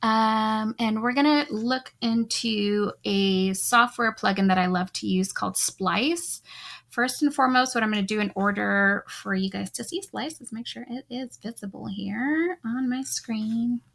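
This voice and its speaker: A woman's voice: a little high, unhurried, vocal fry, a bit bright. A woman hosting a casual show, chatting with her guest while she builds a beat, upbeat and full of hype. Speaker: show host and beat producer. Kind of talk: casual hosted chat while making a beat.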